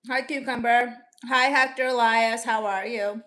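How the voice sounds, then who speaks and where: A woman's voice: a little high, steady tone, a little slow, fairly bright tone. A young woman from Orlando, Florida, chatting casually with viewers as livestream host, upbeat and laughing often. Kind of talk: casual chat reading viewer comments.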